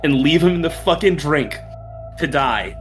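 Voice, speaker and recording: A man's voice: fairly high pitch, expressive, normal speed, a bit bright, faint music behind. A man bantering casually as a tabletop game player in slangy, profane language.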